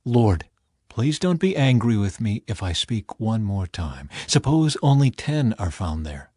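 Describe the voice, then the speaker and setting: A man's voice: lowish voice, sing-song, unhurried, dark tone, breathy edge, no room sound, clean studio sound, heavily acted. Man acting humble old patriarch, earnest deferential pleading, swooping intonation, scripture audiobook.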